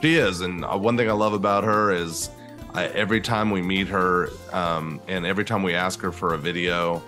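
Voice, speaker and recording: A man with a fairly low voice co-hosts a podcast. He talks in a casual, chatty style, with faint music underneath. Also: fairly even intonation, moderate pace, sharp tone. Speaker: podcast co-host. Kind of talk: casual chat.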